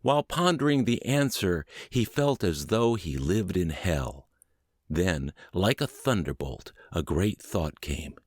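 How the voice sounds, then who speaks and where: Low-voiced man narrating with lively intonation. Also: no echo, clean studio sound. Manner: earnest, measured, expressive reading. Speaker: podcast narrator reading a book aloud. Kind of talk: scripted audiobook narration.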